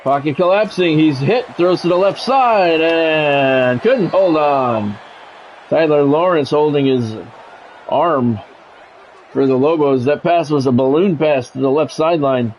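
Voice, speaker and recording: A man's voice: a little high, a little slow, darkish tone, low-quality recording. A man works as a football play-by-play announcer. He calls the action in short, clipped phrases. There is faint noise behind him. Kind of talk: American football game commentary.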